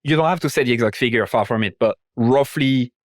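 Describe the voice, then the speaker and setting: A man's voice: medium pitch, a little fast, no echo, clean studio sound. A man hosting a casual, probing interview in a blunt, informal way, swearing freely. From Ireland or living there. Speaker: interviewer, host and marketing consultant.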